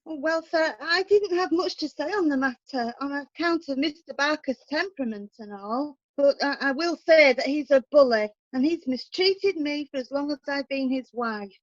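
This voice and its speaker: A woman's voice: high-pitched, normal speed, few pauses, dry recording, dull audio, lightly acted. A woman playing a mistreated wife in a period courtroom radio play, speaking earnestly. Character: put-upon wife, meek then defiant. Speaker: witness.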